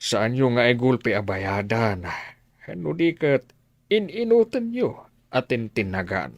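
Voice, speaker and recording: A rich elder patron in an Ilocano radio drama. He counsels slowly and deliberately with an Ilocano accent, his pitch moving widely. The recording is dry and close. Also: big pitch swings, no room sound. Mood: advising. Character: wealthy elder benefactor giving measured business advice.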